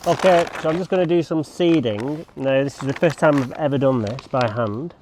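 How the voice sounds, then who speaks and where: A man's voice: mid-range voice, normal speed, darkish tone, slight background noise. A man chatting casually to camera while working outdoors, rambling with joking asides. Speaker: lawn care vlogger. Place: outdoors on a lawn.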